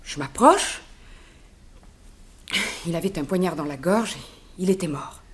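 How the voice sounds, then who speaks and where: An actress playing a respectful, talkative and gossipy chambermaid in a 1960s radio serial. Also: female voice, fairly low pitch, animated, measured pace. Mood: chatty, concerned. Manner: deferential, chatty.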